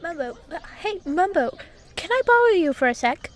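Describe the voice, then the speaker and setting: A woman's voice: very high voice, sing-song, normal speed. A woman voicing a friendly, anxious bee-man leader in an audiobook, with hesitant, eager questioning. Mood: worried, probing. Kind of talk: audiobook character acting.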